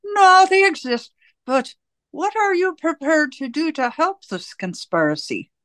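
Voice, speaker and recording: A woman playing a composed, commanding party official in a radio play, speaking in a measured, solemn way. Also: high-pitched, big pitch swings, a bit bright, dry recording, full character voice. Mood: stern questioning.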